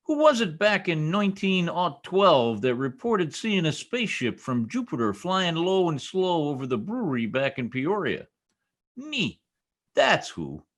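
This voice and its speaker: A blustery, stubborn husband from a 1940s-style radio comedy, acted by a man. His pitch swings wildly as he makes emphatic, folksy pronouncements and trips over big words. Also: wildly swooping pitch, measured pace. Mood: stubborn, boastful.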